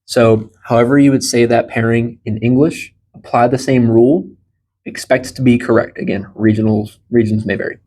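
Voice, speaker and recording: Male language teacher, 30s, American, mid-pitched, encouraging and explanatory, informal small-group lesson. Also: steady tone, moderate pace, mellow, slight background noise. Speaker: Spanish teacher. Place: small indoor room beside a large monitor.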